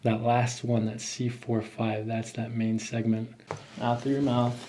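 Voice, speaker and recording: A man in his thirties, a chiropractor, calmly giving coaxing hands-on instructions to a patient. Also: medium pitch, a little slow, slight background noise. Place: small office, indoors. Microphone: microphone on boom stand.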